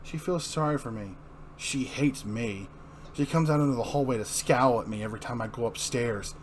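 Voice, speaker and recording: A man's voice: mid-range voice, normal speed, voice pushed down, close to an everyday voice. A man playing a downbeat, desperate young husband grumbling in an amateur comic-book reading. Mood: bitter despair. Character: anxious struggling young husband, bitter and desperate. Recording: clearly noisy room recording.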